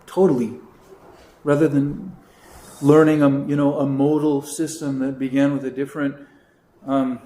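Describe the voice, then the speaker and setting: A man who is a priest, teaching a catechism class in a relaxed, chatty way with many asides. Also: medium pitch, a little hiss or hum. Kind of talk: informal class talk. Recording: roomy echo.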